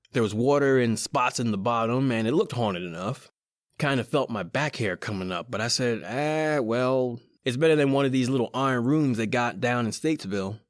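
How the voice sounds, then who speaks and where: A man's voice: mid-range voice, no room sound, clean studio sound, lightly acted. A man with an American accent playing a cocky, slangy small-time crook in an old-time radio horror drama. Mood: wry, uneasy. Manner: slangy, colloquial, conversational. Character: cocky wisecracking small-time male crook telling his own eerie tale. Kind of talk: old-time radio horror acting, first-person narration and dialogue.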